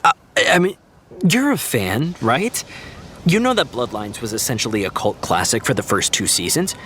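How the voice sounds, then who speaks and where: An actor playing an easygoing, diplomatic TV writer who explains things in a reassuring, conversational way, in an audio drama. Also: male voice, medium pitch, sing-song, normal speed, pushed and bright, slight background noise. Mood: earnest explaining. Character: easygoing, diplomatic TV writer consoling a young fan. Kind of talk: naturalistic audio drama dialogue.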